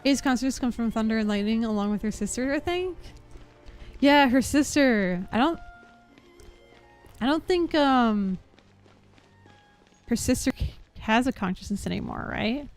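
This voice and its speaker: Higher-pitched young woman streamer, rambling casually. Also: expressive, unhurried, long pauses. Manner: rambling, thinking aloud, giggly. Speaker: game streamer. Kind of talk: casual live gameplay commentary. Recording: faint game music behind voice. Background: game music.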